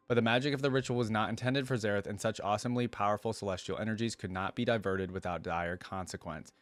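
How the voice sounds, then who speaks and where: A man's voice: fairly low pitch, no room sound. A man hosting a reaction video, reading story lore aloud and breaking off for casual, slangy commentary. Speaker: YouTube reaction host.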